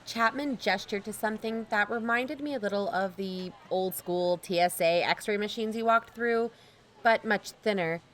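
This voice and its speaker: A woman playing a watchful investigator, narrating in the first person for an audio drama in a measured, dryly observant way. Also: mid-range voice, fairly bright tone, a little hiss or hum, natural voice. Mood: watchful, wry. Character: watchful, wry female investigator narrating her covert visit.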